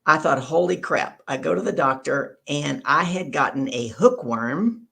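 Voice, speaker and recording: A woman's voice: low-pitched, expressive, a little slow, creaky, sharp tone, breathy edge. A woman hosting an online show, chatty and upbeat while telling stories. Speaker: host. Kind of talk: casual chat and storytelling.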